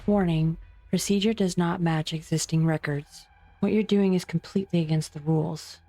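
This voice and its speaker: A woman's voice: on the low side, fairly even intonation, normal speed, mellow, lightly acted. A woman voicing a precise researcher with a formal, procedural way of talking. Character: precise female lab researcher. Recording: faint music underneath.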